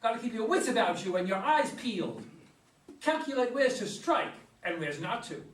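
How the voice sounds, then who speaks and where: A man's voice: high-pitched, sing-song, normal speed. A man reading the part of a plain-spoken, boastful working man with a folksy, emphatic delivery in a stage play. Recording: echoey room, far from mic.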